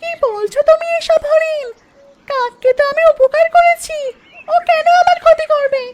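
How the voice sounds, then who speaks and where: Woman as a high-voiced worried mother goose. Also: normal speed, a little hiss or hum. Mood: disbelieving. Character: worried mother goose, trusting and hard-working. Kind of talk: cartoon story voice acting.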